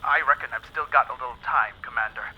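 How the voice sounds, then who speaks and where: An actor playing a loyal, easygoing spacecraft lieutenant in an audio drama, relaxed and kindly, heard through a radio filter. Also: male voice, medium pitch, fast, muffled recording. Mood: tender, loyal.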